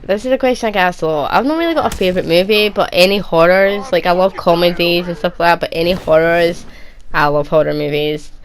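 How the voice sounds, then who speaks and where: Scottish young woman with a low voice. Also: fast talker. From Edinburgh, Scotland. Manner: chatty, rambling, lots of restarts and "like". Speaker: gaming YouTuber. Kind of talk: casual Q&A chat.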